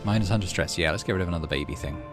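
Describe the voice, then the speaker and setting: A man hosting a let's play, reading a story game aloud with expressive delivery and muttering asides about his moves. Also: deep voice, racing, music in the background.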